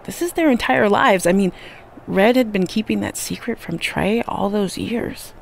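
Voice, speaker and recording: A woman's voice: medium pitch, normal speed, vocal fry, a little hiss or hum, close to an everyday voice. A woman acting as a reflective, sympathetic co-host on a wrestling preview show in a video game, giving broadcast commentary.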